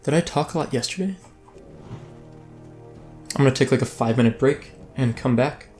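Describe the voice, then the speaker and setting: A man's voice: mid-range voice, moderate pace, a little mellow, soft background music. A man hosting a let's play, giving casual, rambling gameplay commentary that keeps breaking off mid-thought. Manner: rambling, self-interrupting, chatty.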